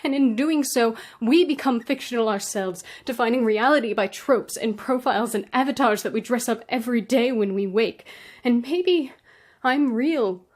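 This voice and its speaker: Higher-voiced woman reading slam poetry. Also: a little mellow. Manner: dramatic, earnest delivery with deliberate pauses. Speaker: slam poet.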